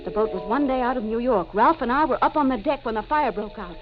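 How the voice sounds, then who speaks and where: An actress plays an anguished woman with a lost memory in an old radio mystery. Her voice sits on the high side and is brooding and melodramatic. The vintage recording is dull, with some music behind her. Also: quick pace, lightly acted. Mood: dawning horror. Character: anguished amnesiac murderess, haunted and despairing. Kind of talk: 1940s radio mystery acting.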